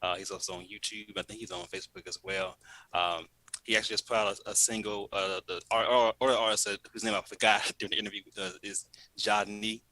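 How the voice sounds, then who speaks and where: Bright-toned male host, chatty and loose. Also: on the low side, steady tone, quick pace, no echo, low-quality recording. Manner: loose and chatty, many fillers. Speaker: show host. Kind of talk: casual interview and show links. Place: in Louisiana.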